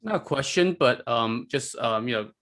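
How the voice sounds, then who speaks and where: A man from China speaking as a podcast panelist, in a halting, tentative way with many fillers. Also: medium pitch, animated, fairly bright tone. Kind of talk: casual panel discussion.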